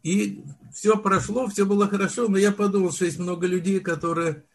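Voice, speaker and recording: An older man, a film director, telling his story in a relaxed interview, often restarting and searching for words. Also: high-pitched, a little fast, creaky. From Soviet Union. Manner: reminiscing, with frequent restarts and word searches. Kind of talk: unhurried storytelling in conversation.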